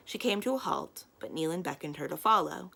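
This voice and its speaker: A woman reads a children's novel aloud in a low register. Her voice has a creaky, fry-edged grain, and she tells the story expressively, like a library storyteller. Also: a little fast. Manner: expressive reading with acted character dialogue.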